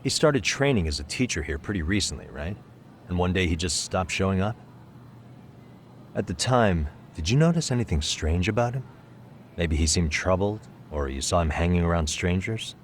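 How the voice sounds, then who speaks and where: A man playing a calm, wry private detective in a video game, speaking in a casual, measured way. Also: low-pitched, slight background noise. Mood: gentle, casual. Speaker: detective and club advisor. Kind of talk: English video game dub acting.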